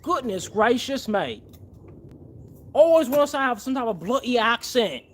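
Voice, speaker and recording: Man doing a mock british bloke, exaggerated indignation, very high pitched. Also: very animated, a little slow, fairly bright tone, exaggerated voice. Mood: mock indignant. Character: man in a put-on mock British accent, huffy.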